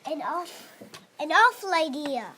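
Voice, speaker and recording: A child reading reader's theatre narration in a dramatic, expressive way. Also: high-pitched, unhurried. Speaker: narrator in a class play. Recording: loud background noise.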